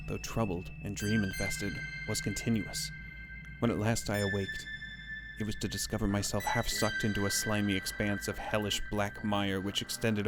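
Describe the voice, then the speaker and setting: A man playing a horrified shipwrecked sailor, telling of a nightmare in a somber, measured and formal horror reading. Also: lowish voice, natural voice. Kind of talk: dramatic gothic horror reading. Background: ambient musical score.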